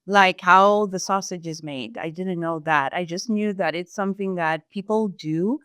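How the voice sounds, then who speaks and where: A young woman from Zimbabwe, a sales employee answering interview questions in a thoughtful, measured way, with some fillers. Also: lowish voice, fairly bright tone, clean tone, dry recording, clean studio sound.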